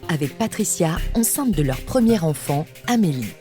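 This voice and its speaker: A woman's voice: sits low, sing-song, moderate pace, warm tone. A woman reading a scripted true-crime story as a podcast narrator. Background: music.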